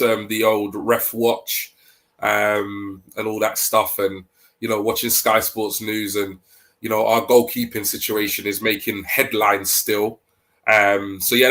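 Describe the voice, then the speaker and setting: A man with a stuffy head cold talking casually about football on a podcast, hesitant with many pauses. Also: fairly low pitch, fairly bright tone. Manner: hesitant, many ums, thinking aloud. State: congested with a cold. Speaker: podcast co-host.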